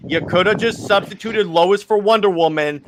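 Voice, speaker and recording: A man's voice: high voice, moderate pace, a little hiss or hum, poor audio. A man co-hosting a casual podcast chat about comics, stammering and restarting often as he argues his point. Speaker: podcast co-host.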